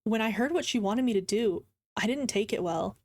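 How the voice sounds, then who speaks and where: A woman voicing a hurt, angry male visual novel narrator in a measured, brooding way. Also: on the high side, expressive, darkish tone, dry recording. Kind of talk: dramatic first-person story reading.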